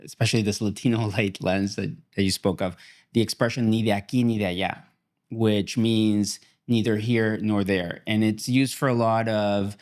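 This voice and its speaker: Mexican canadian guy hosting, lowish voice, thoughtful questions. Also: steady tone, moderate pace, darkish tone. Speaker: host. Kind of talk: interview questions and chat.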